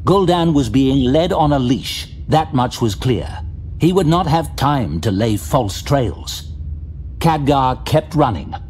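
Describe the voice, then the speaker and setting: A man giving scripted fantasy audio drama narration, measured and dramatic, recorded as a studio voice-over. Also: medium pitch, lively intonation, a little mellow, a little hiss or hum. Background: faint music.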